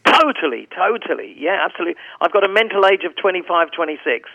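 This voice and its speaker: A man in his seventies talks with a gravelly rasp that sits a touch high for his age. He rambles into stories, restarting his sentences as he goes. The sound comes over a thin, tinny phone line. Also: sing-song, fast, no echo. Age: 70s. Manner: chatty, rambling with restarts and anecdotes. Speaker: guest singer. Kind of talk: casual interview chat.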